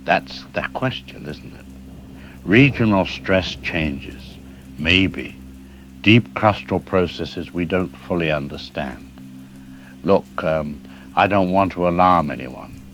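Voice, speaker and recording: An actor playing a calm, middle-aged seismologist who explains things carefully and hedges his words, as a guest in a news interview drama. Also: sits low, slow, slight background noise, full character voice. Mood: measured. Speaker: expert guest.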